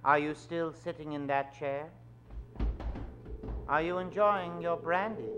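A man in middle age narrating an old-time radio drama on stage, suave, with dramatic pauses. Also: fairly high pitch, over music. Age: middle-aged. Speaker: host and announcer of a radio show. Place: stage of a small theatre. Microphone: vintage-style stand microphone.

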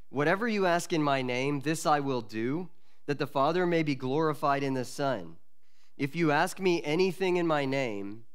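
A man in his forties, a pastor, preaching an expository sermon from a lectern mic in a church, earnest and measured with pauses and restarts. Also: on the high side, clear, resonant tone. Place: church sanctuary at a wooden lectern. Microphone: lectern microphone.

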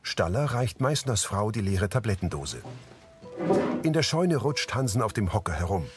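A man's voice: on the low side, sing-song, normal speed. A man reading scripted present-tense scene descriptions as an audio description narrator. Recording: music behind the voice.

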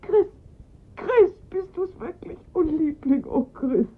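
An actress playing the anxious, tearful mother of a kidnapped boy in a radio crime drama, halting and emotional. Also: extremely high pitch, animated, exaggerated voice. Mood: tearful relief. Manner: halting, emotional, repeating herself. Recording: muffled, band-limited radio recording.

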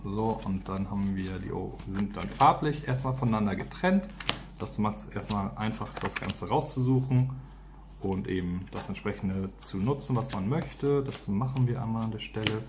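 A man's voice: fairly low pitch, moderate pace, creaky, noisy background. A man giving a casual, unscripted product walkthrough, informally thinking aloud as he goes. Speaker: product reviewer and teacher.

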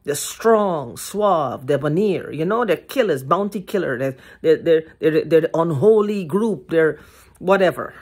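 An older woman ranting to her phone camera in a living room, heated, profane and repetitive, with a hoarse throat. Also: sits low, lively intonation, measured pace, darkish tone. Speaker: vlogger. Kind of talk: agitated rambling monologue to camera. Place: living room at home, indoors. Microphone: phone held at arm's length.

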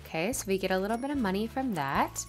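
A woman's voice: medium pitch, animated, fast, faint music behind. A woman with a stuffy, congested nose, chatting casually over her gameplay as a channel host. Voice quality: slightly nasal. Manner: chatty, upbeat, rambling.

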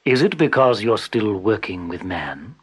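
A sly, menacing tiger, put on by a man reading a storybook. The voice purrs low and slow, sliding into husky half-whispers. Its pitch rolls lazily up and down as it schemes. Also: very animated, full character voice.

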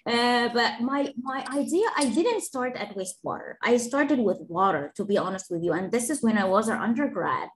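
A woman's voice: on the high side, expressive, normal speed, hardly any pauses, a little hiss or hum, poor audio. A woman from Egypt, an engineering professor, giving a webinar talk and answering questions in an earnest, explanatory way, with fillers and restarts. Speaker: engineering professor, guest speaker.

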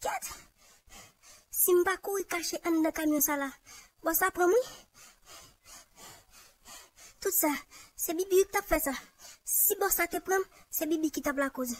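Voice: very high-pitched, moderate pace, pauses often, dry recording. A performer with a Haitian accent voicing an aggrieved, insistent young male cartoon sidekick who grumbles and repeats himself. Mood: agitated. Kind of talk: Haitian Creole cartoon dialogue.

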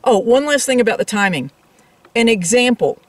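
A woman's voice: a little high, lively intonation, moderate pace, no room sound, distant mic. A woman co-hosting a travel vlog, explaining things in casual, conversational talk addressed straight to viewers. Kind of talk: casual explanatory chat to camera.

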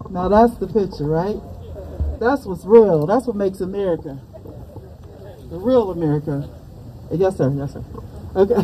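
A lively woman emcees an outdoor event, calling each speaker up with enthusiasm. Her voice is fairly high and a bit distant, with clear outdoor noise behind her. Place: outdoors on a lawn.

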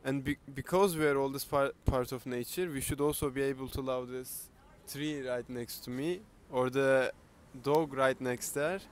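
Young man from Turkey, even-pitched, halting and earnest; street recording with faint traffic noise. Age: young adult. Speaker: interviewee. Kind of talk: casual speech to camera. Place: city street outdoors. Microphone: handheld camera, close.

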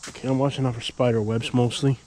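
A man's voice: mid-range voice, level tone, quick pace, slight background noise, poor audio. Guy in his 30s rambling on a walk-around vlog, handheld cam outside, faint crickets behind. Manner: rambling, chatty, thinks out loud with fillers. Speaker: vlogger. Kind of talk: casual walking vlog. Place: outdoors at an empty lot, open air. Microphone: handheld action camera at arm's length.

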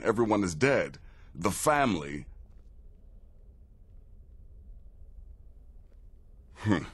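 An actor playing a gruff, weary but warm-hearted male assassin veteran in a video game, speaking expressively and dramatically. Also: sits low, full character voice. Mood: astonished hope.

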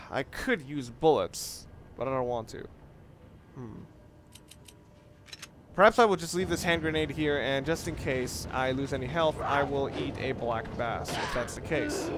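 Rambling man commentating over noisy gameplay. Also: fairly high pitch. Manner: rambling, repeats and rephrases himself. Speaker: Let's Play commentator. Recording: noisy, game sounds behind the voice. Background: video game ambience.

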